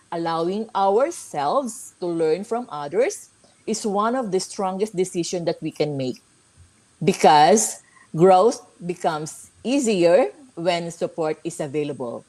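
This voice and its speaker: A woman with a lowish voice speaks very slowly as she gives a motivational talk. Her delivery is earnest and halting, and she circles back to repeat points. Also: animated, darkish tone, rough recording. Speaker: guest speaker on a livestream.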